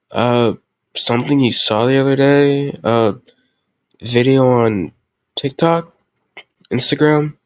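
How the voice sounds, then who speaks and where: A man's voice: mid-range voice, no echo, close to an everyday voice. A man playing a tough gang leader who is a playful, doting boyfriend in an intimate roleplay audio, teasing and mock-grumbling affectionately. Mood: playful, flustered.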